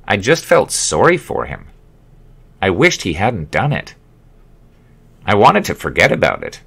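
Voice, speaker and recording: A man narrating his own memoir as an audiobook, with expressive, wry storytelling. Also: on the low side. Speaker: author reading own memoir.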